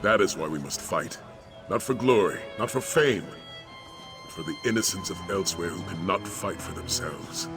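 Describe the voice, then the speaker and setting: Fantasy game mentor, deep voice, noble, formal and earnest, over clear background music. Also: male voice, animated, moderate pace. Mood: grave, resolute. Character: solemn, noble male warrior mentor, courteous and grave. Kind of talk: fantasy video game dialogue.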